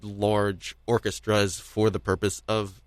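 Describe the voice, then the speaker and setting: A man's voice: on the low side, flat delivery, slow, dry recording. A man hosting a casual discussion show, talking off the cuff in a rambling, hesitant way with repeated words. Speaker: podcast host.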